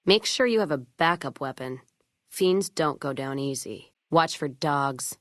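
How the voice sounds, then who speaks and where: Game companion role: tough American wasteland woman, low register, dry sound, gruff and sardonic, foul-mouthed drinker with folksy slang. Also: lively intonation, a little slow, no echo. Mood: grim, disgusted. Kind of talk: video game companion voice lines.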